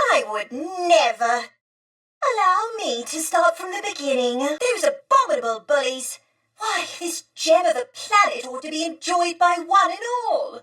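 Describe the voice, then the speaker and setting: A woman voicing a polite, warm, old-fashioned female droid in a video game, with prim, formal and earnest diction. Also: high-pitched, far from the mic. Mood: cheerful, earnest, then prim indignation. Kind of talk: video game character acting.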